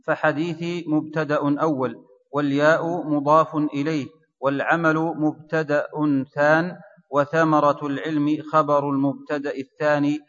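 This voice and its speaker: A man reading a grammar text aloud for his teacher in a steady, measured recitation. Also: fairly high pitch. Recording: distant mic in a reverberant hall, dull lo-fi sound.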